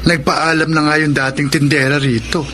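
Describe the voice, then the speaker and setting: An actor playing a helpful, frank young friend in a Filipino radio drama. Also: male voice, fairly high pitch, little pitch movement, normal speed, close to an everyday voice. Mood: helpful, chatty.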